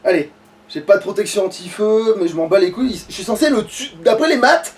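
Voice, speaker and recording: Male gamer, rapid casual sweary commentary, picked up from a distance with faint noise. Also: sits high, animated. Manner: sweary, thinking aloud. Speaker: gamer playing on a let's play. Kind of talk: casual let's play commentary.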